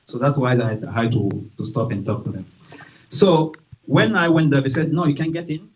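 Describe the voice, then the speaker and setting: A man from Guinea with a French accent, speaking slowly and carefully as an author presenting his book to a library audience, with hesitations and acted-out quotes. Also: medium pitch, lively intonation, rough recording. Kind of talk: informal talk to an audience. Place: library event room.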